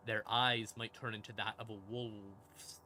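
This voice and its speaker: A man hosting a stream and explaining game lore in a casual monologue, with theatrical, deliberate pauses between short phrases. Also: on the low side, flat delivery, pushed and bright, a little hiss or hum. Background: ambient music in the background.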